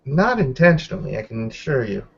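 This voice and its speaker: A man's voice: mid-range voice, sing-song, fairly quick, creaky, husky, exaggerated voice. Man playing a wry, tipsy female werewolf, lo-fi. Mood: wry, teasing.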